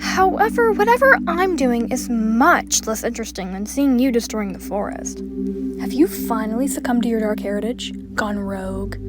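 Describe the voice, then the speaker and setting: A woman's voice: fairly high pitch, lively intonation, moderate pace, lightly acted. A woman playing a haughty, bossy young fairy with a curt, disdainful way of speaking in a fantasy roleplay. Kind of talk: scripted roleplay voice acting. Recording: clear music behind the voice.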